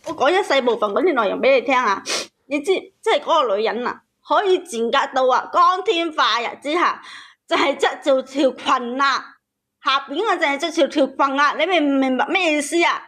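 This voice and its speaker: A high-pitched woman tells her own story as a caller. She speaks emphatically and repeats phrases as she goes. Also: expressive, a little fast, fairly bright tone. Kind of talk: emotional personal storytelling to a host.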